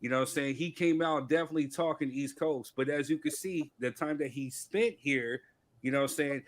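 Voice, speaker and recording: Male podcast panelist from California, higher-pitched voice, emphatic and insistent in casual debate. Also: a little fast. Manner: emphatic, repeats "you know what I'm saying".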